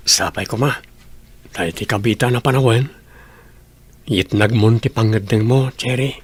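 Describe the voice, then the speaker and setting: A man with an Ilocano accent and a slightly husky voice, acting an earnest, humble young village suitor in a radio drama. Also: mid-range voice, a little slow. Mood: pleading, tender.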